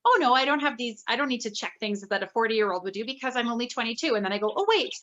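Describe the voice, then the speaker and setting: A woman's voice: on the high side, quick pace, a bit bright. A woman in her forties interviewing a guest in a conversational way, on slightly lo-fi audio. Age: 40s. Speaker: interviewer, summit host.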